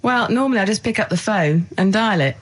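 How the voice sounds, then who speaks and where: A woman's voice: mid-range voice, animated, a little fast, breathy edge. A woman chatting casually on air as a radio producer, apologetic and self-deprecating. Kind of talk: casual chat.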